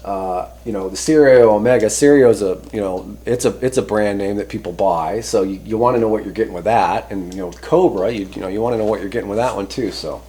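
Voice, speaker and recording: A man presenting a product review in casual, unscripted talk, rambling conversationally and often pausing to rephrase himself. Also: lowish voice, mellow. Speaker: host reviewing CB radio antennas.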